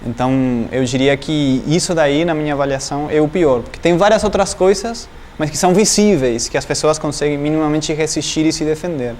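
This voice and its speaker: Man answering thoughtfully, higher-pitched. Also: fairly quick, hardly any pauses, darkish tone, slight background noise. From Argentina, Entre Ríos province. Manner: thoughtful, many fillers and restarts, searches for words. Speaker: doctoral student, interviewee. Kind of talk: academic interview answers.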